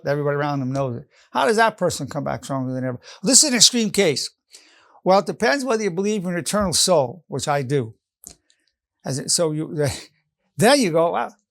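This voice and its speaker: An older man in his late seventies, a psychologist giving an earnest motivational lesson and repeating his key phrases. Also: on the high side. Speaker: psychologist teaching an online lesson. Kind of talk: motivational lecture.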